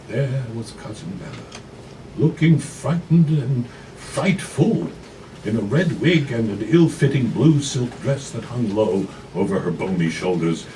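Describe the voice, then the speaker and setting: A male actor narrates a story with highly animated intonation. His phrasing is measured and emphatic, full of dramatic pauses. It is a live café recording, a bit distant with clear background noise. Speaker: actor reading a novella. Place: café, live before an audience.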